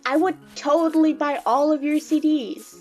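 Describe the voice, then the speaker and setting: A woman playing an excitable, friendly young monster child in an audio drama, with an enthusiastic, slangy way of talking. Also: high voice, moderate pace, faint music behind, close to an everyday voice. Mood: excited.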